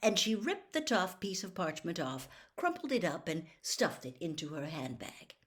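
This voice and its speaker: A woman storyteller reading a novel aloud in expressive audiobook-style narration. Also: on the low side, normal speed.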